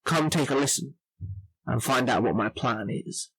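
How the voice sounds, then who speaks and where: A man's voice: mid-range voice, moderate pace, a bit bright, slight background noise, rough recording. A man delivering a promotional spot for an online adventure story.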